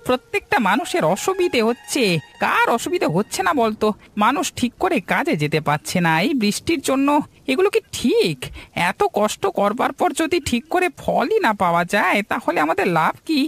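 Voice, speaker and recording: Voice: high voice, very animated, a little fast, creaky, a bit bright, faint music behind, exaggerated voice. An actor voicing a grumbling but devout village fisherman in a moral cartoon story. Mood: complaining. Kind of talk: Bengali moral cartoon dubbing.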